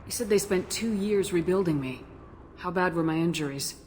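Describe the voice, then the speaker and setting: A woman's voice: lowish voice, normal speed, a little mellow, a little hiss or hum, lightly acted. A woman playing a determined military commander in a video game, direct and questioning. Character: determined female military commander seeking answers. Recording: game music underneath.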